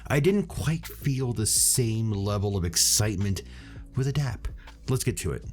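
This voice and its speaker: A man's voice: lowish voice, animated, a little slow, faint music behind. A man hosting a product review, reading scripted narration to his viewers. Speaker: reviewer and channel host. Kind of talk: scripted video narration, audio gear review.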